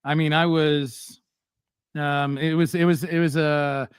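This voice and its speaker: A middle-aged man hosts a casual live stream, talking bluntly and with plenty of animation, throwing in fillers as he goes. His voice sits a little high for a man. The sound is dry and close. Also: little pitch movement, measured pace, clear, resonant tone, no echo. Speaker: host.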